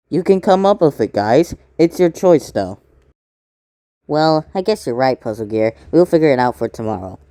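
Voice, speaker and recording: Amateur cartoon voice actor, theatrical delivery, swooping pitch, dry recording. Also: mellow, no echo.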